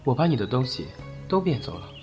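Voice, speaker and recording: Guy playing a cold mysterious kid, talks short n quick, no warmth. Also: medium pitch, very animated, faint music behind, close to an everyday voice. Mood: aloof. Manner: terse, cool, few words. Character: aloof, curt mysterious young boy with powers.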